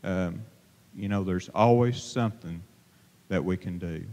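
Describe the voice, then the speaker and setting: A middle-aged man preaching an informal sermon from a pulpit, plain-spoken and halting, with many fillers and restarts. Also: low-pitched, dark tone. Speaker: lay preacher. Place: church sanctuary, at a pulpit. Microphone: handheld microphone held to mouth. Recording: long reverb of a church hall.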